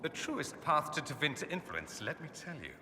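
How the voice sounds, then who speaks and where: Suave, bright-voiced aristocratic mage. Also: male voice, on the high side, sing-song, normal speed, full character voice. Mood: wry, explanatory. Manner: suave, theatrical, sardonic, articulate. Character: urbane, witty, self-assured foreign aristocrat mage, adult man. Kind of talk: video game dialogue acting. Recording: echoey hall with ambient noise.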